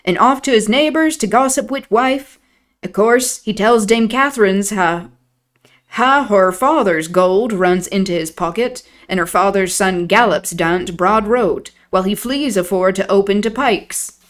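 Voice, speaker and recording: A woman voicing a grumbling, pious old male servant, reading his lines slowly in a broad rustic dialect. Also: on the low side, smooth.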